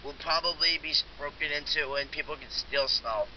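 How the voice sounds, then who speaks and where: A man's voice: a little high, fairly quick, lightly acted. A man playing an insistent, pleading security technician on a prank phone call, repeating himself. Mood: urgent, pleading. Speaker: prank caller. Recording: dull lo-fi phone recording with hiss.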